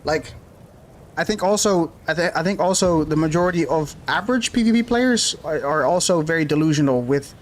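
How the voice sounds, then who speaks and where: Higher-voiced man chatting while gaming. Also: a little fast, a little hiss or hum. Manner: rambling, laid-back, thinks aloud with fillers. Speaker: game streamer. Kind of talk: casual live-stream chat while playing.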